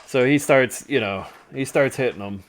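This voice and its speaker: A man's voice: medium pitch, normal speed, a little hiss or hum. Casual chuckling man telling stories. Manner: chuckles often, animated retelling with acted-out quotes. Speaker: storytelling show host. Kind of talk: casual humorous storytelling over photos. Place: live online stream.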